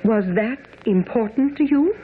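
An actress playing a gentle, candid young lady in a radio mystery drama. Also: on the high side, lively intonation, unhurried, slight background noise. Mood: hurt, probing. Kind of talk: old-time radio drama acting. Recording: muffled, narrow-band radio broadcast.

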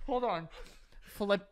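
A man's voice: sits high, a little hiss or hum. A young American man co-hosting a comedy podcast, teasing and swearing casually into a close studio mic. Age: young adult. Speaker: podcast co-host. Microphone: close broadcast mic on desk stand.

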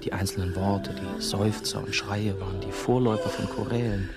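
A brooding man tells his own story in a radio drama. The voice is low and deliberate, reflective in manner, with music playing clearly underneath. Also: steady tone. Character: brooding male ex-novice, nursing-home worker, telling his story. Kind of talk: radio drama first-person narration. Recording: music behind the voice.

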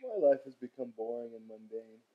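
A young man rambles through a casual video diary in a mid-pitched voice. He sounds weary and grumbles with dry sarcasm. He is recorded on a webcam in a room at home. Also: lively intonation, fairly quick, lo-fi sound. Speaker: vlogger. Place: room in a house.

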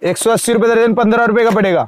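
A young man in a crowded cosmetics shop, rattling off wholesale prices per dozen and per piece in a rapid sales patter. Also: very high voice, fairly even intonation. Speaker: wholesale shopkeeper. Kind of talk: sales pitch to camera.

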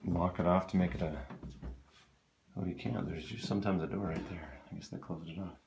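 A man, a real estate agent, giving a relaxed, offhand walkthrough of a condo while filming on a handheld phone. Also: sits low, fairly quick, vocal fry, noisy background. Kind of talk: casual property walkthrough. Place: condo interior, walking through rooms.

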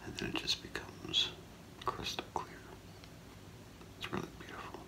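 A middle-aged man whispering in a halting, hushed ASMR role play as an appraiser, breathy and gravelly, close to the mic. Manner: slow, hushed, halting, with long pauses. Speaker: ASMR performer role-playing a gemologist. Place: small curtained room indoors.

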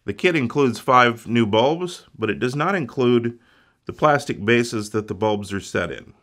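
A man's voice: mid-range voice, animated, a little slow, a bit bright. A man walking through an electronics repair step by step in a plain, methodical way. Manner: methodical, plain instructional delivery. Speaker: repair instructor.

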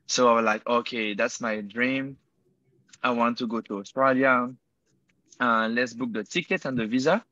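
Young Swiss man, bright dry call voice. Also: medium pitch, normal speed, no echo, muffled recording. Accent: French. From Switzerland. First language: French. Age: 20s. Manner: hesitant, many fillers and self-corrections. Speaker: guest entrepreneur. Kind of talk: casual interview answers. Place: indoor room by a window. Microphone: earbuds on a video call.

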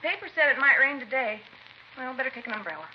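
A woman's voice: high-pitched, expressive, fast. A woman playing a brusque, casual American working woman questioned in a 1950s police radio drama. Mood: matter-of-fact. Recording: dull, hissy 1950s radio recording.

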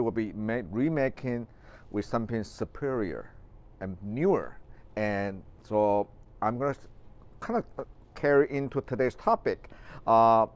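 Taiwanese-accented man, smooth clear voice. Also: medium pitch. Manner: rambling, many fillers and restarts, gestures while talking. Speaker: orchid grower and show host. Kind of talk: casual live-stream talk. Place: large greenhouse.

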